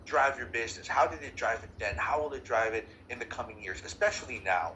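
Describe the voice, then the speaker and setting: A man hosts an online meeting in a bright, mid-pitched voice. He is effusive and quick to apologize as he introduces speakers. A faint noise sits under him. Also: rough recording. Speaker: meeting host.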